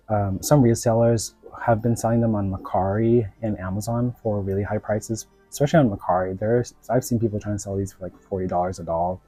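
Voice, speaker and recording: Mellow-voiced man with a cold. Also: fairly low pitch, no room sound. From United States. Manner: rambling, many filler words. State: sick with a cold. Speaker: doll reviewer. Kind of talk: casual vlog chat.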